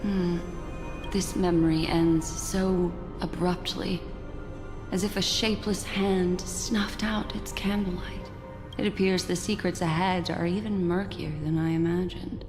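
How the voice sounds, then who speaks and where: Casting: actress for coolly judging female investigator, video game; slow, measured, composed, music underneath. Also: on the low side, flat delivery, close to an everyday voice. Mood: pensive. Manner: measured, solemn, formal. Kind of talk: dramatic video game acting. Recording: reverberant hall, music behind.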